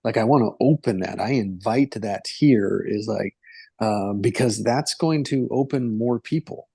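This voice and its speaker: A male host talking in a rambling, gentle way, full of fillers, in a casual conversation. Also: on the low side, measured pace, vocal fry, slightly rough, no echo, studio quality.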